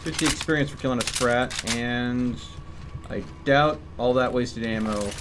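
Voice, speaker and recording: A man's voice: medium pitch, unhurried, background noise. A man giving casual live commentary as he streams a game, musing to himself as he goes.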